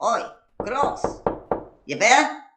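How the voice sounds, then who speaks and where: A man's voice: extremely high pitch, sing-song, extremely slow, roomy sound, background noise, full character voice. A man playing a blunt, foul-mouthed squad member in an amateur audio drama, rambling and hesitant. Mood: alarmed, pleading.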